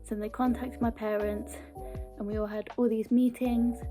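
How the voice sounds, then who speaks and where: A woman's voice: fairly high pitch, level tone, moderate pace. Earnest British woman with a mild stammer. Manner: earnest, with small word repetitions. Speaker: video blogger. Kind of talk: casual personal talk to camera. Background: background music.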